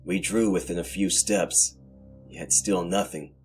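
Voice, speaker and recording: A man narrating horror fiction as host, in a scripted, dramatic and brooding manner with deliberate pauses. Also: low-pitched, fairly even intonation, soft background music.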